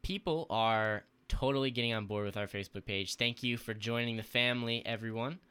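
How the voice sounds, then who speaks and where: Upbeat young man with a bright voice. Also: medium pitch, fairly quick, smooth. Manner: upbeat, joking, enthusiastic. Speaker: podcast co-host. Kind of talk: casual chat.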